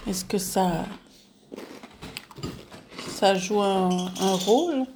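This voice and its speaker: Woman interviewer, quebec french accent, gentle slow questions, faint noise. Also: medium pitch. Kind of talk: casual interview.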